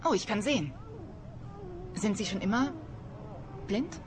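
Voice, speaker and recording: An actress playing a curious, cheeky young journalism student in a crime radio play, blunt and teasing in manner. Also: female voice, mid-range voice, big pitch swings, unhurried. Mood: cheeky, eager. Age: young adult.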